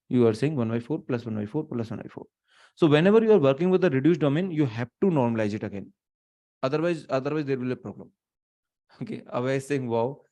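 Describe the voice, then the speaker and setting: A man's voice: mid-range voice, warm tone. A man in his thirties with an Indian accent, giving a live online lecture. He thinks aloud with restarts and fillers, slipping into Hindi, recorded in a small room on a webcam setup. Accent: Indian English, mixing in Hindi. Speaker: teacher. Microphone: webcam setup, not close.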